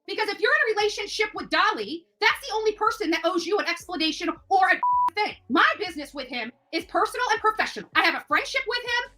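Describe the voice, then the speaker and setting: Woman, livestreamer, combative, sassy, scornful, high voice, swooping pitch. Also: bright, forceful tone. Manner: combative, sassy, scornful, calls target "babe" and "honey". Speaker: YouTube live streamer. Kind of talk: ranting livestream callout. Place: her home, alone.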